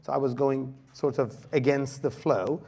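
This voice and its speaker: A man giving a lecture at a conference, telling a story with many fillers and pauses. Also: mid-range voice, steady tone, gravelly, mellow. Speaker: guest lecturer. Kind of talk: conference talk. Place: lecture hall.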